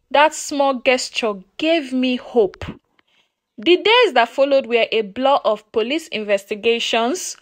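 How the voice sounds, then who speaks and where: A woman with a Nigerian accent narrating a first-person folktale in an expressive, deliberate, emotive way. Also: high-pitched, no echo. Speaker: storyteller.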